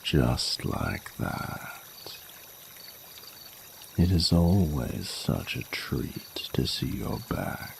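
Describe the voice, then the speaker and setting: A man's voice: a bass voice, big pitch swings, creaky, slight background noise, exaggerated voice. A man voicing a flirty, courtly male dragon in a romantic audio roleplay, speaking formally and soothingly in intimate ASMR style. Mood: flirty, admiring. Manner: formal, flowery, unhurried, soothing speech. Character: ancient-sounding flirty male dragon, tender, courtly lover.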